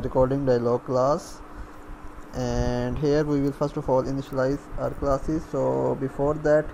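A man talks through a screencast programming lesson at a slow, halting pace, thinking aloud as he goes. His delivery is very flat, with little movement in pitch. There is clear noise behind his voice. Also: poor audio. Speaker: programming tutorial instructor. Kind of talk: unscripted screencast coding tutorial. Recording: clear background noise, room ambience.

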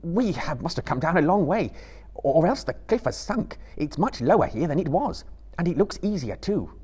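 A man's voice: a little high, sing-song, moderate pace, mellow, husky, pitched above the performer's own voice. A man voicing an earnest, determined young male hobbit who urges his companion on in a lifted voice. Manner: urgent, raised and pleading.

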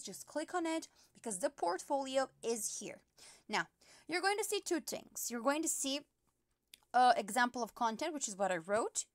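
A woman's voice: high-pitched, no room sound, studio quality. A woman teacher patiently walking parents through an app step by step, with frequent fillers. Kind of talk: app tutorial screen recording.

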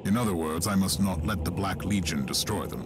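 An actor playing a stern, commanding inquisitor in a video game, curt and authoritative. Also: male voice, deep voice, fairly quick, very noisy, lightly acted. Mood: stern, controlled. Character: stern commanding male inquisitor of grim resolve. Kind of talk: scripted game dialogue. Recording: loud game music and machinery behind.

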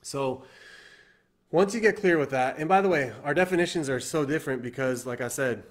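A man's voice: fairly high pitch, fairly quick. Earnest man giving a motivational talk. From Iran. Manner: earnest, repetitive, many fillers and restarts. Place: living room indoors. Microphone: webcam or laptop camera at desk.

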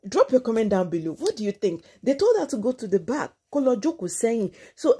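Slightly husky Nigerian woman host. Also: on the high side, mellow. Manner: switches between English and Yoruba. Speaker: online gossip channel host. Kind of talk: informal celebrity news commentary.

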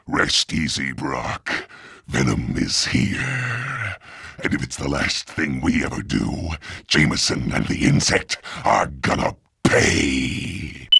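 Very deep, gravelly monstrous villain. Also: male voice, very animated, pushed and bright, no room sound, clean studio sound, full character voice. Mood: menacing. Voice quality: guttural, raspy growl. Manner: slow, ominous, theatrical. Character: menacing alien symbiote villain, vengeful and monstrous.

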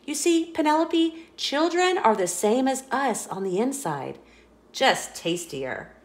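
A woman voicing a calm, patient T-Rex father in a storybook, speaking in a gently teasing way. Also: high-pitched, slow pace, pitched above the performer's own voice, full character voice. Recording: roomy echo.

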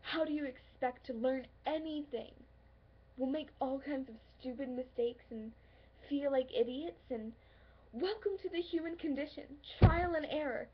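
Woman acting a high, creaky, lovelorn young woman. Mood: hurt, confronting. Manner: earnest, pleading, halting with pauses. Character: hurt, insecure, lovelorn young woman confronting her friend. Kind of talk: dramatic stage monologue. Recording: dull lo-fi, faint background noise.

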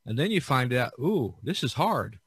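A man hosting a casual podcast chat, talking haltingly and often restarting his phrases. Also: mid-range voice, big pitch swings, a bit bright, slightly rough, no room sound, clean studio sound. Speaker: podcast host.

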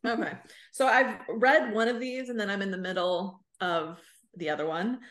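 A woman's voice: mid-range voice, fairly even intonation, normal speed, a bit bright. Woman, thirties, mid-range voice, informal podcast chat, conversational. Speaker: podcast co-host. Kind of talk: casual chat about books. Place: small room on a video call.

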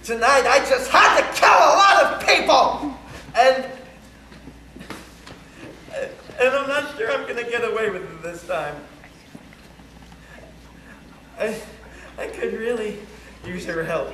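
Man acting a frantic, high-pitched confessing killer, echoey. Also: lively intonation, exaggerated voice. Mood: manic, frantic, then shaky, spent. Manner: halting, stammering, breaking into nervous laughter. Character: frantic, confessing male murderer, unhinged and panicking. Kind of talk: theatrical monologue as a one-sided phone call. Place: large room at a banquet, recorded on camcorder. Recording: echoey hall, loud noisy camcorder audio.

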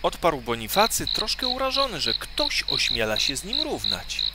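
Animated man telling a children's story. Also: fairly high pitch, very noisy. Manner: theatrical, suspenseful emphasis with pauses. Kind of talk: scripted children's story narration.

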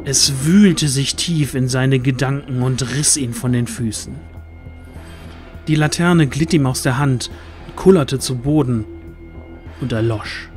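Slow, suspenseful male storyteller. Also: medium pitch, faint music behind. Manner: suspenseful, deliberate pauses. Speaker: narrator. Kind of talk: dramatic scripted fantasy audio drama narration.

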